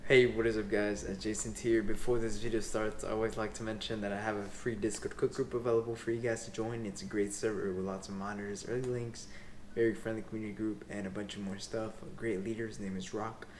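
A man's voice: lowish voice, little pitch movement, fast talker, a little mellow, faint music behind. A young man, a sneaker resale vlogger, talking casually to camera with loose slang and lots of "like" and "bro", seated in a small room. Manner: laid-back slang, fillers like "like" and "bro". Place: small indoor room in front of window blinds.

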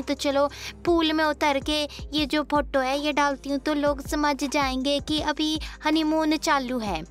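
Brief: woman gossip host, high bright voice, cheeky and teasing. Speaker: gossip show voice-over host. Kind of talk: teasing celebrity gossip chat.

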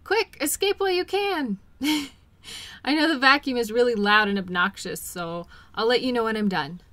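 A woman playing a caring, patient girlfriend in an intimate role-play audio, talking gently and soothingly to her partner. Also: on the high side, animated, normal speed, no echo. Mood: reassuring, light. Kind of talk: intimate one-sided role-play acting.

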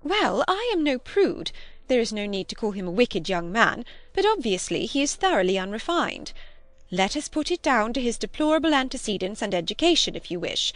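A woman's voice: on the high side, big pitch swings, normal speed, no echo. A woman voicing a prim, moralizing older spinster cousin, emphatic and plaintive, in an audiobook. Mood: condescending, prim. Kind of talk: audiobook character acting.

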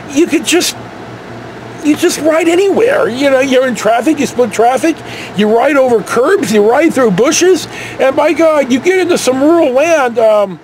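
A man's voice: high voice, a little hiss or hum, low-quality recording. A middle-aged man with an American accent, rambling through a casual personal story to camera. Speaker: storyteller vlogger. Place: inside a motorhome.

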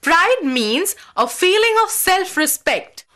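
A woman reciting scripted word definitions for children, deliberately, as a vocabulary presenter. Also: very high voice, very animated, pushed and bright.

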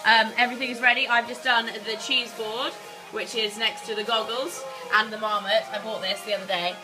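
A young woman filming a casual vlog on a handheld camera, chatting brightly and laughing often. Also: a little high, little pitch movement, fairly quick, over music, rough recording. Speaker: vlogger host. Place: outdoors on a ski slope and in a chalet kitchen.

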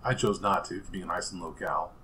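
A man's voice: low voice, fairly quick, a little hiss or hum. A man hosting a home cooking show in a small kitchen, talking casually with some fillers as he cooks and tastes. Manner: relaxed, chatty, with fillers and restarts.

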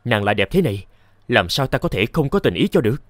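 An actor playing a naive, smitten young scholar in an animated fairy tale, earnest and theatrical. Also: male voice, mid-range voice, quick pace, no room sound, clean studio sound, close to an everyday voice. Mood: lovestruck.

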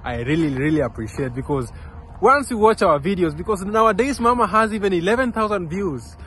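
A man's voice: high voice, lively intonation, a little fast, a bit bright, a little hiss or hum. A young man from Kenya talking earnestly to his phone camera while walking outdoors, rambling with many restarts and fillers. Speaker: vlogger. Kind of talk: casual vlog talk to camera. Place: outdoors on a park path beside a road. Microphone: phone camera held close.

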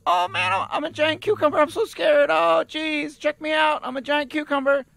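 A man's voice: very high-pitched, fairly even intonation, moderate pace, vocal fry, fairly bright tone, dry recording, exaggerated voice. A man voicing an anxious, eager little auditioner who babbles and repeats himself in improvised game comedy. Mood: nervous, eager. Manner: babbling, repetitive, naive.

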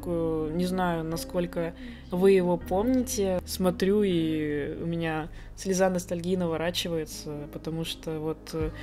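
A woman's voice: on the low side, fairly even intonation, mellow, soft background music. Chatty young woman vlogging from her desk. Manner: chatty and self-deprecating, with quick asides. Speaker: artist and video blogger. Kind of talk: casual vlog chat while drawing. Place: home room with computer setup.

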